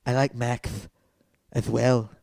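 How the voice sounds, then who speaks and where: A man co-hosting a casual wrestling podcast with his father, talking in a relaxed way and laughing often. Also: medium pitch, takes it slowly, vocal fry, dry recording. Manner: laid-back, laughs easily. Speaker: podcast co-host, son. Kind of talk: casual chat.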